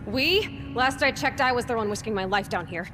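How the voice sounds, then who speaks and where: An actress playing a sarcastic, blunt and impatient young huntress in a game. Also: female voice, fairly high pitch, animated, normal speed, music in the background, close to an everyday voice. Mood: sarcastic, annoyed. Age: young adult. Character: young female hunter, sarcastic, defiant, impatient. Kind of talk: scripted dramatic game dialogue. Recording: reverberant hall.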